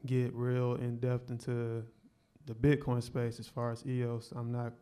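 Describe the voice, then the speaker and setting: A man's voice: medium pitch, monotone, measured pace, warm tone, smooth. A man on a panel giving laid-back, plain-spoken answers.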